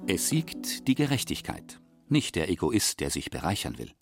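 A man reading scripted narration for a radio documentary, expressive and deliberate. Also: sits low, faint music behind.

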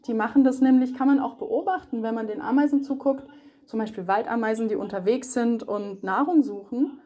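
A woman's voice: a little high, dark tone. A woman speaking as an animal expert, explaining things spontaneously and with emphasis for a young audience. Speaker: animal expert being interviewed.

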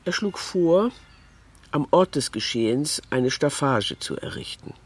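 A man's voice: a little high, expressive, measured pace, slight background noise. A man reading a scripted literary documentary narration.

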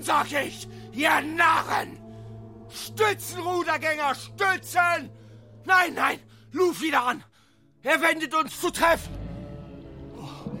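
An actor in a radio play as a desperate whaling ship officer, declamatory and anguished, bellowing orders and praying. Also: male voice, very high voice, heavily acted. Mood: frantic, commanding. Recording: loud music behind the voice.

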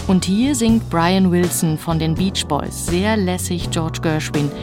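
A woman's voice: lowish voice, takes it slowly. A woman radio presenter reading a scripted announcement and introducing a song. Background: music.

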